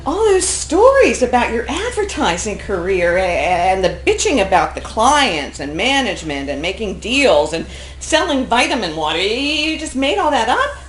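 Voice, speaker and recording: Woman acting an animated middle-aged wife, echoey stage. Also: a little high, moderate pace. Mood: astonished. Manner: giggly, animated, theatrical. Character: middle-aged wife, playful secret agent, safety-minded mother. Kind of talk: live stage acting in a comedic play. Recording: echoey stage workshop, clear background noise.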